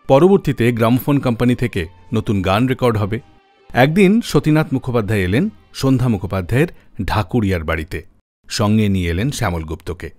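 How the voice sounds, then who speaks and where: A man with a fairly deep voice hosts a music radio show in a storytelling style. His delivery is very animated, rising and falling widely, with music playing behind him. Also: no echo.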